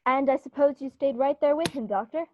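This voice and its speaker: Woman playing quick high-voiced vintage radio announcer. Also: no room sound, phone-quality sound, close to an everyday voice. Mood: cheery eager. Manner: brisk, chummy, prompting. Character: cheery 1940s radio announcer, male, eager interviewer.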